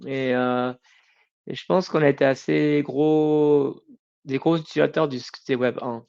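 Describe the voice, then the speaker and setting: A man's voice: medium pitch, fairly even intonation, vocal fry, a little mellow, clear, resonant tone, muffled recording. A middle-aged man answering interview questions informally, often breaking off and rephrasing. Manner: informal, halting, self-correcting. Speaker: podcast guest, company founder.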